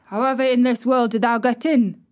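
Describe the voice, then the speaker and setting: A woman voicing a grumpy old male gardener with a Yorkshire accent, shouting and scolding in a storybook reading. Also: on the high side, steady tone, fairly quick, voice pushed up, natural voice. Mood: outraged.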